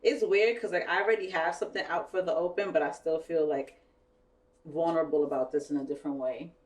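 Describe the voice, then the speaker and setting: A woman with a lowish voice talks things through with friends, reflective and unhurried. She sounds a little far from the mic, in a roomy, echoey space with a faint hiss underneath. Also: steady tone. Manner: reflective, trails off and restarts. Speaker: podcast co-host. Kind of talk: casual chat among friends. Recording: echoey room, mic at a distance, faint noise.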